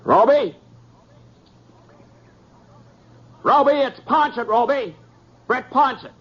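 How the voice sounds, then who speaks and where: A man's voice: very high voice, expressive, unhurried, slightly rough, heavily acted. An American actor playing a terse, plain-spoken frontier lawman who tells his own story in a 1950s western radio drama. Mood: guarded, calling out. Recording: muffled old radio recording with background hiss.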